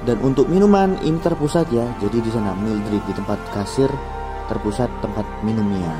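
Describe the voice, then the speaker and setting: A man's voice: fairly low pitch, a little fast. Upbeat man touring a food court. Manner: chatty, upbeat, loose. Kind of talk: casual walkthrough narration. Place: busy food court and cafe, indoors at evening. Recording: loud background music over the voice. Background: loud music, crowd chatter.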